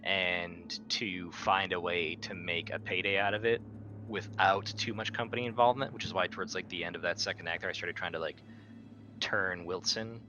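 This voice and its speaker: A man's voice: low voice, fairly even intonation, normal speed, sharp tone, muffled recording. A man casually recapping his characters as a player in a tabletop roleplaying game. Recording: faint background noise.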